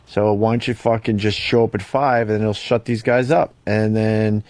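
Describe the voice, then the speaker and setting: A man's voice: on the low side, flat delivery, normal speed, darkish tone, no echo. A man hosting a radio show, talking casually with a needling, profane, teasing manner.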